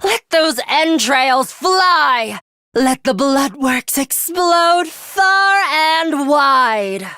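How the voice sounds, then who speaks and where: A woman's voice: high voice, lively intonation, extremely slow, sharp tone, no echo, heavily acted. A woman voicing a cold, bloodthirsty young female fighter in an English video game, formal and menacing with theatrical flourishes. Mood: bloodthirsty, exhilarated.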